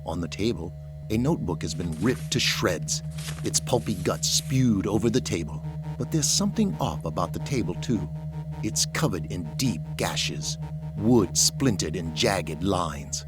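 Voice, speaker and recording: A man's voice: low-pitched, big pitch swings, a little slow. A man giving dramatic scripted narration as the narrator of an audio drama. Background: music.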